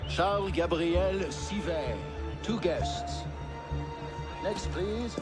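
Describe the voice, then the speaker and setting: A man's voice: high voice, extremely slow. An actor playing a curt, officious doorman in a video game cutscene, announcing guests in a formal, clipped manner. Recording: music playing behind.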